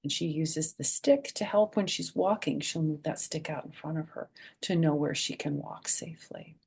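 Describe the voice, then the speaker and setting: Woman, lowish pitch, creaky fry, children's storytime reader, picture-book read-aloud, simple chatty explanations. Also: moderate pace, darkish tone.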